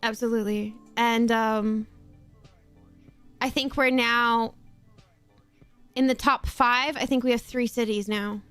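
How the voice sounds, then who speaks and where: Woman, high voice, mostly North American accent with slight Australian, casual stream chat, frequent laughter, casual swearing. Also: steady tone, slow, long pauses, a bit bright, smooth, faint music behind. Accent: mostly North American-sounding, slight Australian in some words.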